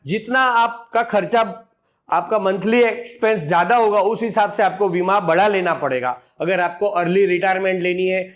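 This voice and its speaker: A man's voice: high voice, normal speed. A man in his forties, a financial advisor, explaining an insurance plan to viewers step by step and calling them friends. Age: 40s. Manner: addresses viewers as friends, works through figures step by step.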